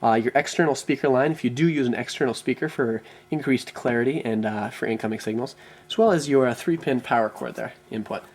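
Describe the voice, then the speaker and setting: Guy doing an off the cuff product review, mid pitch voice, bit hesitant with lots of ums and repeats. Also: fairly quick, darkish tone. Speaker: product reviewer, radio shop presenter. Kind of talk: unscripted product walkthrough.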